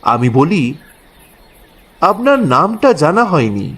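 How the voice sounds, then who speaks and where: A man's voice: a little high, sing-song, slow pace, a bit bright, breathy edge. A man narrating a horror story dramatically in the first person as a boatman.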